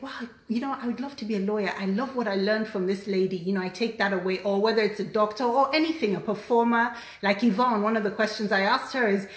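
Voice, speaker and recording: A woman hosting a podcast in casual conversation, enthusiastic and quick to affirm with short interjections. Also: medium pitch. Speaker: podcast host. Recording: roomy, echoey sound.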